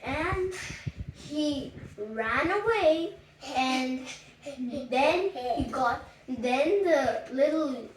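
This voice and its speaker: An echoey, distant recording of a high-voiced storyteller. The telling is unhurried and halting, with words repeated as a bedtime tale is made up on the spot. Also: animated. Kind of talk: improvised bedtime storytelling with acted lines. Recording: echoey room, distant mic, faint noise, clicks.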